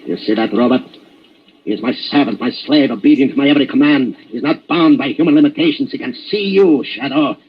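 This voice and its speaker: Husky, theatrical mad scientist villain. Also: male voice, medium pitch, expressive, brisk. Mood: gloating menace. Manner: menacing, theatrical, emphatic. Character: gloating mad scientist villain, commanding, panicky when cornered. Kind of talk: 1940s radio drama acting. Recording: dull, lo-fi 1940s radio recording.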